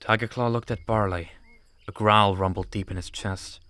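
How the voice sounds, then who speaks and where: A man's voice: fairly low pitch, steady tone, moderate pace, bright, forceful tone, dry recording. A man narrating an audiobook story from a script.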